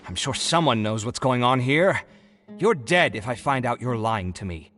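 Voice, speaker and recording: Guy playing a hot headed video game scholar, dramatic, forceful voice all over the place, faint music. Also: medium pitch, animated, normal speed, natural voice. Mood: indignant. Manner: dramatic, argumentative. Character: hot-headed, indignant young male scholar, rival roommate.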